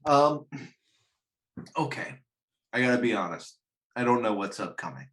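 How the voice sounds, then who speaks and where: A man hosts a loose, unscripted chat show. His pitch moves around a lot, and he gives his opinions bluntly, with plenty of swearing. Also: sing-song, a little slow, fairly bright tone. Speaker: show host. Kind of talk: casual unscripted podcast chat.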